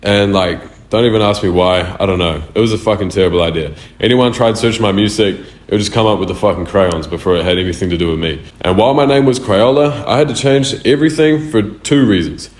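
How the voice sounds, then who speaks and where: Young New male artist, very deep, swears freely, echoey room. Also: fast, hardly any pauses. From New Zealand. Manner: loose, slangy and profane. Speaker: music artist. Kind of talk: casual answers to interview questions. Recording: roomy echo.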